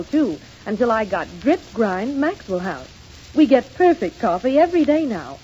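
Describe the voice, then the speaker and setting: An actress playing a confident young housewife giving advice in a 1930s radio commercial. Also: female voice, fairly high pitch, darkish tone. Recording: dull, faintly noisy 1930s radio recording.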